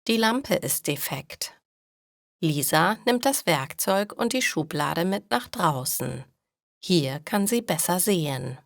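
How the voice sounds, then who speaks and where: A woman narrating scripted stories for language learners in a deliberate, clearly phrased way. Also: fairly low pitch, animated, no room sound, studio quality. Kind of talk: scripted narration, educational storytelling.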